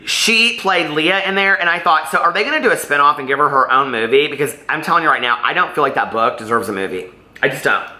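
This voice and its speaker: A man's voice: fairly high pitch, fast talker, pushed and bright, roomy sound. A man talking casually in a book vlog, chatty, gushing and emphatic, wandering off on tangents. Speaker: book vlogger.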